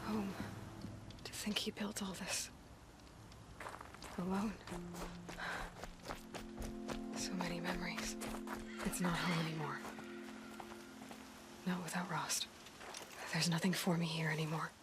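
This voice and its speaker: An actress voicing a young woman warrior in a video game, resolute and lonely, speaking in a soft, breathy, halting and reflective way. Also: on the low side, fairly even intonation, lightly acted. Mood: wistful, grieving. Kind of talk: video game character monologue. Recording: studio game dialogue over background music.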